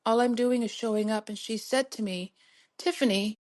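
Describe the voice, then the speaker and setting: Woman, middle-aged, podcast host, soothing, encouraging, laughs often, a bit high-pitched. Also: steady tone, moderate pace, darkish tone. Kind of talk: motivational solo podcast talk.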